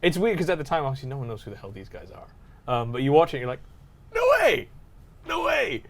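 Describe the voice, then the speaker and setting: A man co-hosting a podcast, discussing films casually and telling anecdotes in an informal, animated way. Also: medium pitch, rapid-fire. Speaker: podcast co-host.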